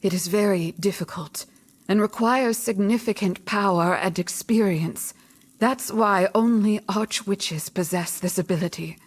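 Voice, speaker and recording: An actress playing a solemn, all-knowing mystic mentor in a video game, measured and cryptic in manner. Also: female voice, medium pitch, lightly acted. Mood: solemn, instructive. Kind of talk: video game cutscene acting.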